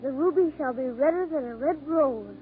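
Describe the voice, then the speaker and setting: Woman playing a high-voiced gentle little swallow. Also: normal speed, phone-quality sound, close to an everyday voice. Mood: tender, devoted. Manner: formal, poetic phrasing. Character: small, devoted, gentle swallow, wistful storyteller. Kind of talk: 1940s radio acting. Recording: 1940s radio broadcast with music.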